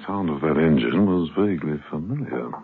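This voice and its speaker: An actor playing a suave British gentleman spy in a 1970s radio drama, dry and understated, with clipped phrasing. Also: extremely low pitch, lively intonation, fairly quick, narrow, tinny audio. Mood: wry, composed. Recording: thin band-limited old radio recording.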